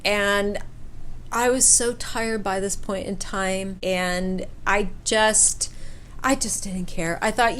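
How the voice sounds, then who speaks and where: A woman telling a personal story in a casual, chatty way, with an animated, informal manner. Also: mid-range voice. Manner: animated retelling, acts out quoted dialogue. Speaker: storyteller vlogger.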